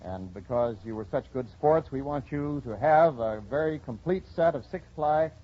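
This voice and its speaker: A man's voice: mid-range voice, normal speed. A man co-hosting a 1940s radio quiz show, bantering in a jokey, folksy way. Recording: muffled, noisy old radio broadcast with audience. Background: studio audience and noise.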